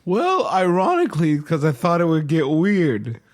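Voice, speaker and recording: A man's voice: on the high side, huge pitch swings, normal speed. A man bantering casually and playfully as a player in an improvised tabletop roleplaying game. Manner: joking, playful.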